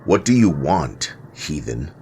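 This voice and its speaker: A man doing a gruff, rumbling henchman. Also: very animated, slow pace, a little mellow, a little hiss or hum, full character voice. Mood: scornful. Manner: scornful, gruff. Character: gruff, scornful male henchman serving the lord.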